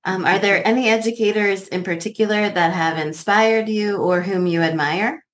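A woman with a medium-pitched voice hosts a conversational interview. She comes across as agreeable, affirming what she hears and putting questions in an informal way. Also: moderate pace.

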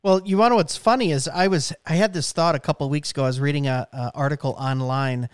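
A man in his late thirties hosts a relaxed conversation, chatty and quick to laugh. His voice sits fairly high and sounds smooth and clear. The recording is close and dry. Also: no room sound. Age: late 30s. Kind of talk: casual chat.

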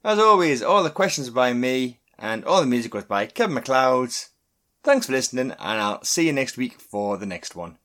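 A man's voice: mid-range voice, very animated, a bit bright. A man hosting a podcast quiz, reading questions and answers in a scripted, upbeat and encouraging way. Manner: upbeat, encouraging, reads clues and answers slowly and clearly. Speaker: quiz host.

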